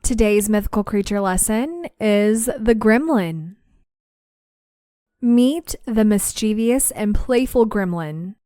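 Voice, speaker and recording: A woman's voice: mid-range voice, slow, warm tone, smooth, dry recording, studio quality. A young woman hosting a podcast, chatting casually and then reading listener stories aloud. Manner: chatty, laughs easily, reads stories aloud. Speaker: podcast host.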